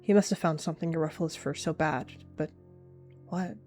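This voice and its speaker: A woman with a lowish voice narrates a roleplay story, calm and measured. Faint music plays in the background.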